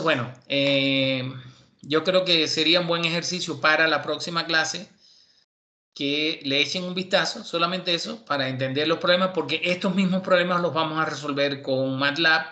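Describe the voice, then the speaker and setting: Cuban guy lecturing his grad class over a video call, bright voice, lots of ums and restarts. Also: mid-range voice, creaky. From Cuba. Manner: relaxed, chatty, many fillers and restarts. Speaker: university professor.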